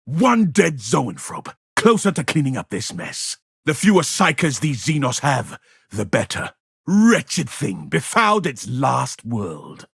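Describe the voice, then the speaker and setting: Video game space marine: righteous zealot, stern and pompous, husky voice with big swings in pitch. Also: male voice, wildly swooping pitch, slow, a bit bright, no room sound, studio quality, exaggerated voice. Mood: grimly satisfied. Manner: pompous, grandiose declarations. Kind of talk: dramatic video game battle barks.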